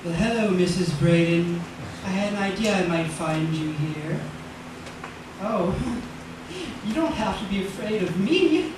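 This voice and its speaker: A man's voice: high-pitched, expressive, a little slow. Man playing a smug, sly villain, very echoey. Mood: sly, genial. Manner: knowing, mock-polite, chuckling menace. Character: smug, sly male proprietor, menacingly genial. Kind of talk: old-time radio drama acting. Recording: very echoey, distant, noisy.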